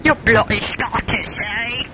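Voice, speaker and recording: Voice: mid-range voice, steady tone, slow, very noisy. An adult chatting casually with their mother, giggling, silly and playful. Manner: giggly, silly, breaks into song and goofy voices. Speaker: adult child recording with mother. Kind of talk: casual chat.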